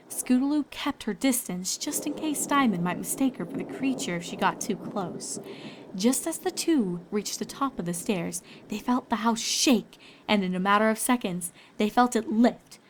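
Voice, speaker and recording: A woman's voice: mid-range voice, background noise. Woman narrating a scripted fan story.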